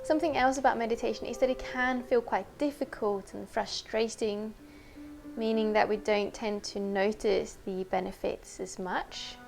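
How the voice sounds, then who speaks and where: A woman teacher leading a guided meditation in a gentle, unhurried, conversational way. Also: fairly high pitch, steady tone, creaky.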